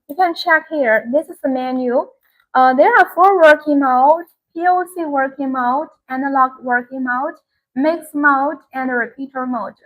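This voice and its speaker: A woman's voice: high voice, a bit bright, rough recording. A woman giving a live-stream sales demonstration in an instructional, repetitive way. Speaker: live-stream product seller.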